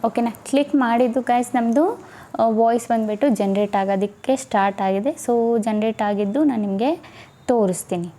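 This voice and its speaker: A woman's voice: a little high, normal speed, warm tone. A woman giving a casual step-by-step tutorial, often checking in with her listeners. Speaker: tutorial host.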